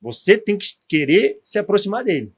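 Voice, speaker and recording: A man's voice: high-pitched, big pitch swings, moderate pace, breathy edge. Gaúcho man telling his life story. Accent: gaúcho Portuguese. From southern Brazil. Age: 40s. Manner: storytelling, frequent tag questions. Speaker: guest, former pro footballer. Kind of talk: podcast interview.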